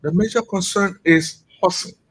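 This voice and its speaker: Middle-aged Liberian man, high-ish husky voice, impassioned radio guest. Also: normal speed. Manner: impassioned, rising into emphatic rhetorical questions. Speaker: executive director of a returnee network.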